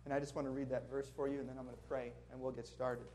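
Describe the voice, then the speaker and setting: A man's voice: mid-range voice, level tone, rapid-fire, darkish tone, slight background noise. A man from Minnesota with a Minnesotan accent, preaching a sermon to a congregation in a church, earnest and friendly with chuckling asides. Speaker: guest preacher. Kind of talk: sermon with prayer and storytelling. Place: church sanctuary before a congregation.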